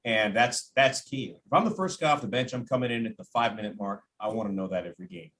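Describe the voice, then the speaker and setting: Low-voiced man, sports radio announcer as guest. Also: fast, fairly bright tone. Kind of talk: conversational sports analysis.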